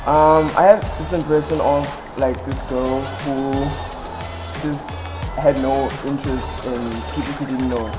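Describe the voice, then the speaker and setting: A man's voice: medium pitch, rough recording. An eighteen-year-old man, a first-year law student, answering interview questions casually and hesitantly, with many ums and restarts. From South Africa (Eastern Cape). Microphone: headset. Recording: background music under voice.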